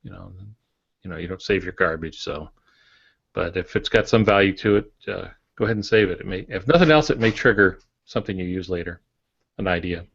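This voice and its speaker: A man co-hosting a writing podcast as a published author, telling stories in a relaxed way with many pauses. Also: deep voice, gravelly, breathy edge, dry recording, dull audio. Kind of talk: casual writing podcast chat.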